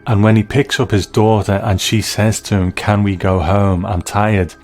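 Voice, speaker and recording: A man giving conversational review commentary, reflective and measured, as narrator. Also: lowish voice, little pitch movement, darkish tone, soft background music.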